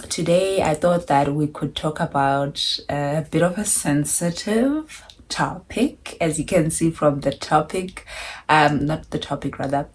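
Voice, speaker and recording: A woman's voice: low voice, darkish tone, a little hiss or hum. A South African woman in her thirties talking to camera in a chatty, candid vlog with wry asides. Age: 30s. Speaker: vlogger.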